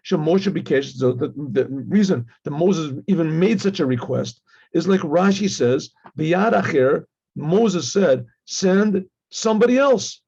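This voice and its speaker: Husky, high-pitched male rabbi teaching. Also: dark tone, lo-fi sound. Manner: conversational, acts out dialogue, repeats phrases, frequent throat clearing. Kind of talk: informal religious lesson, reading Hebrew text and translating.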